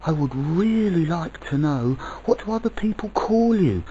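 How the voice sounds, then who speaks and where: A storyteller acting a high, pleading dream child. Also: expressive, moderate pace, dark tone, muffled recording, a little hiss or hum, close to an everyday voice. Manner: plaintive, insistent questioning. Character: eager, wondering young dream child, a girl.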